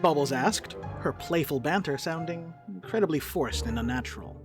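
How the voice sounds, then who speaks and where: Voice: medium pitch, lively intonation, normal speed, music in the background. A narrator reading scripted stage narration for a dramatic reading.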